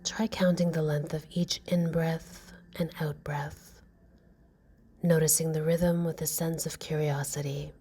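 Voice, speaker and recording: A woman gently guiding a meditation in a soft, breathy, slow and soothing voice. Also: low-pitched, flat delivery, slight background noise. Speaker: meditation guide. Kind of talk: guided meditation narration. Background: music.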